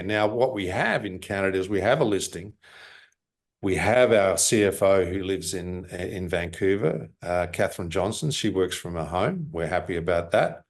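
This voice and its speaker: A man working as a mining company executive, answering interview questions over a video call in a halting way, with many fillers and restarts. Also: deep voice. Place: office in Lima.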